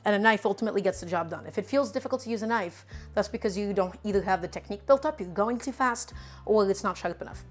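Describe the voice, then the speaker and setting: A woman's voice: medium pitch, fast talker, soft background music. A woman in her twenties hosting a casual cooking livestream, teasing her viewers and explaining each step while she chops. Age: 20s. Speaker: cooking streamer.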